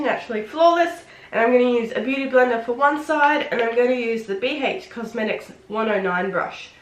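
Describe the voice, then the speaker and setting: A woman with a head cold, sounding nasal and sniffly, chatting excitedly through a casual makeup tutorial. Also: fairly high pitch. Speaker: beauty vlogger. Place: home room. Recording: roomy, echoey room.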